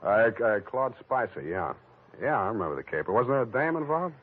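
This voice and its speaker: An American actor playing a wry, tough private detective in 1940s radio style, laconic and wisecracking. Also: male voice, mid-range voice, sing-song, a little fast, husky. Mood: dry, probing. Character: wry hard-boiled male private detective narrating his case. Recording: tinny old radio broadcast, loud music.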